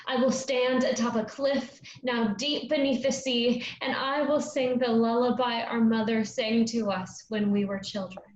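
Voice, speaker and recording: An earnest young woman of the stage acted by a woman, with a high voice and unhurried, deliberate lines. She is heard in an echoey, noisy room at a staged play reading. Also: fairly even intonation, highs cut off, natural voice. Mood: wistful. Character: Madge, earnest young woman, travelling player finding her voice.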